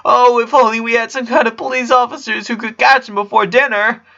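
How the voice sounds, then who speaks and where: A man's voice: very high voice, steady tone, brisk, vocal fry, bright, forceful tone, highs cut off, voice pushed up, heavily acted. A man voicing a kindly, playful eleven-year-old big brother in a story, coaxing and dramatic. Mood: mock dramatic.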